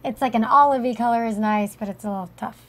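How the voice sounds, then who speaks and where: Woman co-host, higher voice, conversational, studio mic. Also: quick pace, a loud crowd. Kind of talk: casual studio chat. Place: talk show studio. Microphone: studio mic with headphones.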